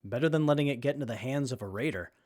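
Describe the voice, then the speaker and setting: A man's voice: mid-range voice, very fast, darkish tone, close to an everyday voice. A man playing an earnest, brainy young survivor who tinkers with armor, in a post-apocalyptic audio drama. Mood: matter-of-fact.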